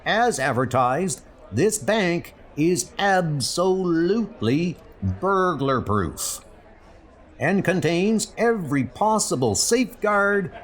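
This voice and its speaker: A man's voice: high voice, sing-song, slow, pitched above the performer's own voice, exaggerated voice. A man voicing a self-important bank president with formal, speechifying delivery. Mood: proud.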